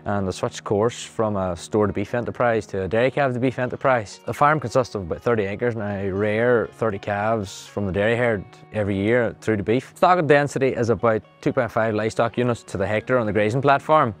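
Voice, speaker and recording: A man's voice: fairly low pitch, fairly quick, hardly any pauses, soft background music. A man in his thirties with a Donegal Irish accent, a farmer talking earnestly and plainly about his farm. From Ireland. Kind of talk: interview monologue.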